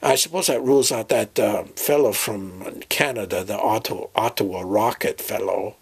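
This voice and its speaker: A man voicing a fussy, forgetful professor in a comedy sketch, halting, muddled and repeating himself. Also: fairly low pitch, breathy edge, pitched below the performer's own voice. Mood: fussy, exasperated. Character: doddering, fussy, forgetful male professor planning an expedition. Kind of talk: improvised comic dialogue sketch.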